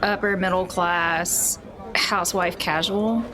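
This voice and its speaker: A woman's voice: fairly low pitch, steady tone, slow pace, a crowd in the background, low-quality recording. A woman playing a tabletop role-playing game, speaking in an informal, conversational way. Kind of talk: casual role-play chat.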